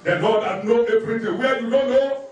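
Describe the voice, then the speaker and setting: A very echoey, distant recording of a man preaching. His voice is pitched extremely high, and he drives each point home with emphatic repetition, like a pastor instructing a congregation. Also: a little fast, darkish tone, lo-fi sound. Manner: emphatic, repetitive, numbered exhortations with scripture quotes. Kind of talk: sermon. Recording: very echoey room, distant microphone.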